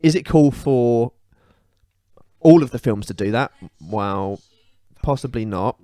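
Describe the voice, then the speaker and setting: A man's voice: mid-range voice, expressive, slow, mellow, no echo. Opinionated man co-hosting a podcast, dry sound. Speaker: podcast co-host. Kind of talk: casual opinionated podcast chat about pop culture.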